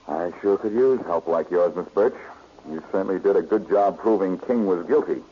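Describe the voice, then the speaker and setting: An actor playing a confident, smooth-talking detective who questions pointedly, in a 1940s radio drama. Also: male voice, low voice, normal speed, close to an everyday voice. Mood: probing, polite. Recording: dull, noisy old radio recording.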